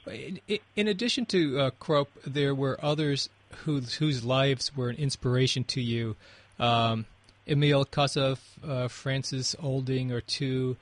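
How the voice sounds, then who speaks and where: A man's voice: medium pitch, fairly even intonation, measured pace, no room sound. A man asking interview questions, often stumbling and repeating words as he starts.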